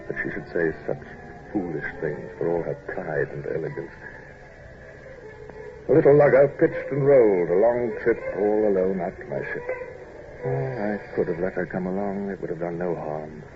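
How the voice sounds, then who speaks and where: An actor playing a reserved, gruffly tender naval commodore in a radio drama, speaking in a clipped, formal, hesitant way. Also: male voice, low-pitched, expressive, normal speed, music in the background. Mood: tender, subdued. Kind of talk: old-time radio drama acting and narration. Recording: dull, noisy old radio recording.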